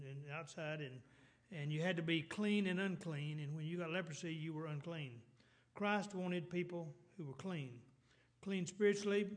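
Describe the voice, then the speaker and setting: A middle-aged man with a Texas accent preaches a sermon in a rambling way, full of restarts and fillers, and clears his throat now and then. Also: on the high side. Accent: Texan.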